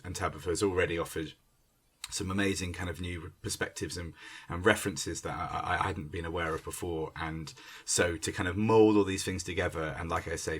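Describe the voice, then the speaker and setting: A man's voice: low-pitched, a bit bright, breathy edge. A man speaking as a theatre director in an unscripted conversation, hesitant and searching, often repeating and restarting his words. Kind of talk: unscripted conversation introducing a creative team. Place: remote internet call. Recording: online call recording.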